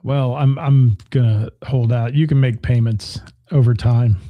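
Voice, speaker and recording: A man's voice: mid-range voice, fairly even intonation, unhurried, dry recording, muffled recording. A man co-hosting a radio talk show, chatting casually and reading listener comments, joking and rambling while losing his voice.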